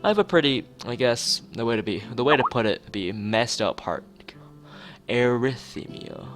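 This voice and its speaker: A man's voice: mid-range voice, expressive, moderate pace, fairly bright tone, faint music behind. A man live streaming, mixing joking, mock-formal commentary with reading story text aloud from a game. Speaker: streamer playing a visual novel.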